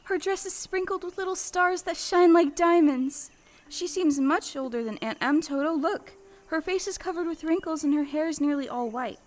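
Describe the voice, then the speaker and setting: A woman's voice: very high-pitched, moderate pace. A woman playing an earnest, bewildered young farm girl in an audio play, speaking with breathless, exclamatory wonder. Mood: hushed wonder. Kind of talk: stage-style audio play acting.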